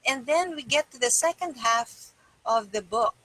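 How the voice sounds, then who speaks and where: A woman's voice: fairly high pitch, lively intonation, unhurried, a bit bright, dry recording, rough recording. A middle-aged woman reading a self-help book aloud in a small room indoors. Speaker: reader.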